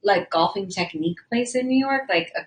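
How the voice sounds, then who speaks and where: A woman's voice: medium pitch, lively intonation, fairly quick. A young woman hosting a livestream chat, reading viewer comments aloud and joking around with lots of fillers. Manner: chatty, giggly, lots of fillers. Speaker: livestream host.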